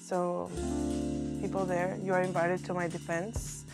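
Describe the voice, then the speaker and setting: A woman's voice: on the low side, completely flat delivery, unhurried, over loud music. A woman in her thirties from Mexico, an artist chatting playfully and often laughing in a casual conversation. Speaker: artist guest.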